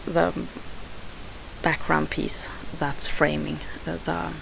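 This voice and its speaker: Slow, gravelly Swedish-accented woman. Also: low-pitched, flat delivery, noisy background. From Sweden. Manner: hesitant, unhurried, frequent fillers and restarts. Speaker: scrapbooking crafter. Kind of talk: narrated craft voice-over.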